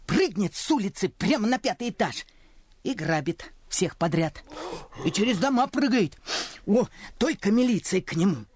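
An actor in a radio play voicing a boastful street-trader boy who tells tall tales in an excited, cheeky way. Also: mid-range voice, moderate pace, slightly rough, no room sound. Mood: excited bragging. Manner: excited, bragging, cheeky storytelling. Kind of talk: Russian radio play acting.

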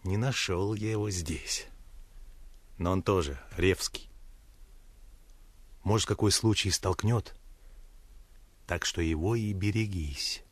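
An actor in a Russian radio play playing a gruff but kindly sailor soldier who instructs in earnest, colloquial speech. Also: male voice, sits low, slow pace, long pauses, no echo. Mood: earnest, warning. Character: gruff, kindly adult Red Army sailor mentor. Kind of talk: Russian radio drama acting.

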